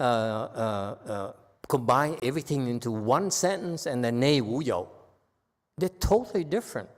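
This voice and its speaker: A man with a Vietnamese accent giving a Buddhist dharma lecture in a temple hall, blunt and teasing, often checking in with "okay?". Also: medium pitch. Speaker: Buddhist teacher.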